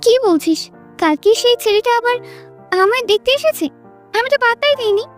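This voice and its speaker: Woman acting sweet young village woman, extremely high pitch, soft, emotional, romantic audio drama, faint music. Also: expressive, a little fast. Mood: puzzled, anxious.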